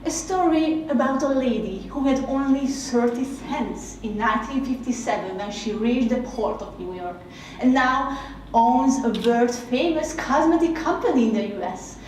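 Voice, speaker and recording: A woman with a Hungarian accent, a native Hungarian speaker, giving a reflective spoken essay in a slow, measured, heartfelt way. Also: fairly high pitch, darkish tone, a little hiss or hum. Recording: very echoey room, mic at a distance.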